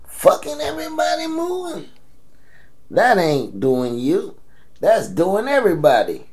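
A man's voice: high-pitched, huge pitch swings, a little slow, darkish tone, full character voice. A man playing a blunt, teasing old American grandfather in an audio drama, salty and slangy as he scolds. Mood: scolding.

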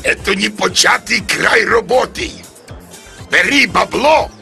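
A man voicing a loud, cheeky cartoon airport rascal, mocking and exaggerated, gravelly and piercing. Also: high voice, expressive, takes it slowly, full character voice. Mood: gleeful. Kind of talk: comic cartoon dub. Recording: music behind the voice.

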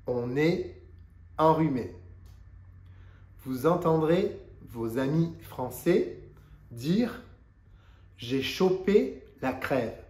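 French teacher guy with a blocked nose, slow careful lesson, kinda high voice. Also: a little mellow, echoey room. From France. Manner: slow, deliberate teaching delivery. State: stuffy nose from a cold. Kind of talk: language lesson to camera. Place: living room indoors. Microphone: lapel mic.

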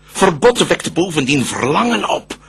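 A calculating devil tempter speaks emphatic verse in a radio play. The voice is bright and somewhat high, with animated intonation. The delivery is eager, defiant and theatrical. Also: male voice, measured pace, a little hiss or hum, full character voice. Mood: insinuating.

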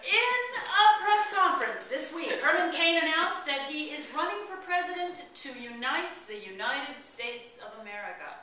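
A middle-aged woman reading satirical news headlines aloud from a script, with deliberate, expressive delivery. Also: high-pitched. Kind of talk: mock newscast read aloud from script at rehearsal. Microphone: far camera. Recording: echoey room, distant camera mic, faint noise. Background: small group of colleagues in the room.

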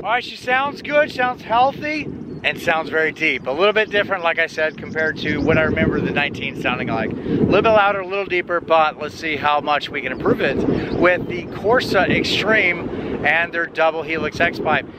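Hoarse, hyped-up man outdoors in the wind. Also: fairly quick. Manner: energetic, hyped-up pitch. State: slightly hoarse. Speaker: car channel host. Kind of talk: casual car vlog. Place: outdoors on an open paved lot. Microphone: camera held at arm's length. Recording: outdoor clip with loud wind noise. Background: wind noise.

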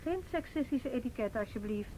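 High-voiced sarcastic young woman played by an actress. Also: animated, fairly quick, mellow, close to an everyday voice. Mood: wry, teasing. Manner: sarcastic, mocking, repeats words pointedly. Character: sarcastic, sharp-witted, defiant young woman. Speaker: actor in a radio play. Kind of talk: Dutch radio drama acting, naturalistic dialogue. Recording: faintly noisy old radio play recording.

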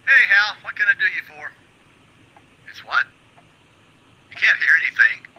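An actor playing a troubled, high-strung radio host in a game's radio drama, halting when confiding and easily exasperated. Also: male voice, very animated, no room sound, lo-fi sound. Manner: halting confessions, exasperated outbursts. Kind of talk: radio drama acting in a game.

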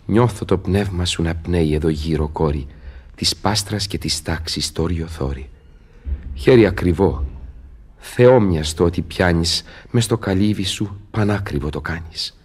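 A tormented scholar in love in a radio play. His voice is very low and husky, and he declaims verse with solemn passion. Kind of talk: theatrical radio drama verse acting.

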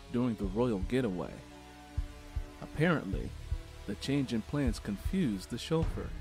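A man hosting a book interview podcast, reading a historical passage aloud in a measured, steady storytelling manner. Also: mid-range voice.

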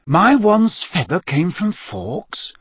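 A man voicing an earnest, shaken teenage boy wizard who speaks in a halting, strained way. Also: a little high, expressive, husky, no echo, muffled recording, pitched above the performer's own voice. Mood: amazed.

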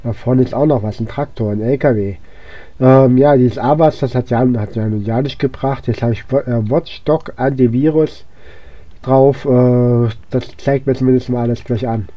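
A hoarse man with a cold giving casual, rambling gameplay commentary, with lots of fillers and restarts. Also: medium pitch, steady tone, moderate pace, dull audio. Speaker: let's play gamer.

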